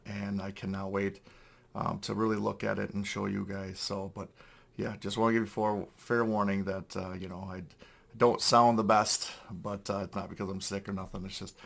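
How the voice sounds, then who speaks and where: A young man talks through a toy unboxing in a hoarse, worn-out voice, clearing his throat often. His voice sits fairly low and carries a heavy gravelly fry, and he rambles with plenty of fillers. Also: a bit bright. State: hoarse, lost voice. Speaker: toy collector channel host. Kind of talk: casual unboxing review.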